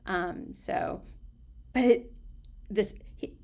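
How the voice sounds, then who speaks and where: An older woman talks loosely and fondly, as if to people she knows. Her voice crackles with heavy creak. She sounds short on sleep and a bit punchy, wandering from thought to thought in a chatty, expressive way. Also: medium pitch, extremely slow. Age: older, around 60s. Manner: chatty, affectionate, expressive, giggly. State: tired, slightly punchy from little sleep. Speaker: storytelling vlogger. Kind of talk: casual, rambling personal chat to camera.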